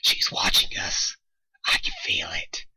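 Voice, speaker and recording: A man's voice: measured pace, bright, forceful tone, no echo. A man voicing a brash, impatient and easily flustered female flying pony in a harried, dramatic manner. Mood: hushed, paranoid.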